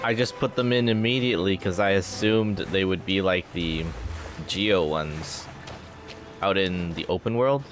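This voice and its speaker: A man's voice: sits low, measured pace. A man doing casual live gameplay commentary as a streamer, informally thinking aloud. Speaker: game streamer. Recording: game music behind voice.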